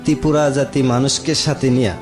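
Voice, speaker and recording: A man's voice: medium pitch, level tone, a little fast. A man in his late thirties with a Sylheti-region Bangladeshi accent, telling his own story plainly and respectfully as a radio guest. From rural Moulvibazar, Bangladesh. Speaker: interview guest. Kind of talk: personal storytelling in conversation. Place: radio studio. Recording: music playing behind the voice.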